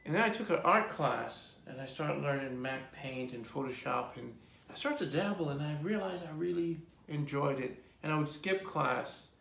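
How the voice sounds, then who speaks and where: Man, forties, gravelly voice, relaxed rambling storyteller, echoey room. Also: on the high side, moderate pace. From Jamaica. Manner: rambling lists, relaxed and reflective. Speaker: artist being interviewed. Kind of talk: casual storytelling interview.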